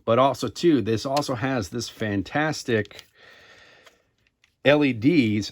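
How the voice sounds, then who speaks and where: A man giving a casual, rambling, conversational product review to camera, circling back over his points. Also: mid-range voice.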